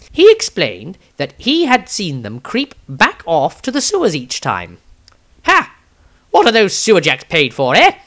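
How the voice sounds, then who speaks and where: An eccentric, self-satisfied wizard grumbling through his fantasy diary, as a male reader plays him. His voice sits high and swings about freely, rambling and theatrical, with wry asides. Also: fairly bright tone, exaggerated voice. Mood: wry, grudging.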